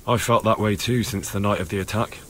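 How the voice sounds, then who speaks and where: A man's voice: fairly low pitch, fairly even intonation, brisk, a bit bright. A man playing an earnest young squire in a medieval video game. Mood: matter-of-fact. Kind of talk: video game dialogue acting.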